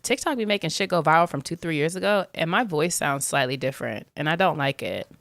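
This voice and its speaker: A young adult woman co-hosting a podcast, trading gossip in an animated, agreeable way. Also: deep voice, a little fast. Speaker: podcast co-host. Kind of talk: casual gossipy chat.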